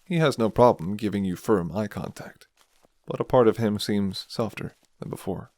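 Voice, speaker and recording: A man reading scripted second-person ASMR narration in a soft, measured storytelling manner. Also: on the low side, no echo.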